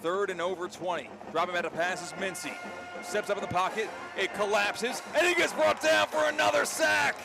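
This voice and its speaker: A man doing live radio play-by-play of a football game, an excitable commentator who lifts his voice for big plays. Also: high-pitched, normal speed, creaky, over music. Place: outdoor high school football stadium. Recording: faint outdoor stadium ambience noise. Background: faint outdoor ambience.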